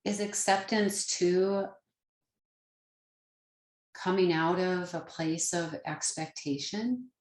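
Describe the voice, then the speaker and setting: A woman with a fairly low voice guides a meditation in a roomy, echoing space. She speaks very slowly and gently, leaving pauses between short phrases. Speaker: yoga nidra meditation teacher.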